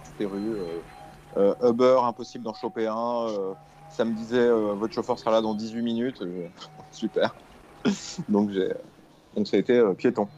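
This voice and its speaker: A man's voice: on the low side, darkish tone. Man, Parisian accent, hesitant radio talk, fillers, studio mic, faint music behind. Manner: hesitant, many fillers and restarts. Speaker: radio panelist. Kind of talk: casual radio chat.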